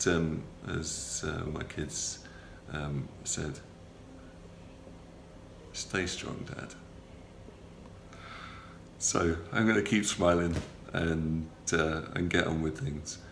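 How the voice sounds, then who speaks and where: Middle-aged man, very low voice, gravelly, stuffy from a cold, halting video diary, roomy sound. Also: fairly even intonation. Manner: halting, many ums and uhs, matter-of-fact. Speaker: patient video blogger. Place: small hospital room, indoors. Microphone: phone or camera close up, front-facing. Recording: roomy with faint background hum.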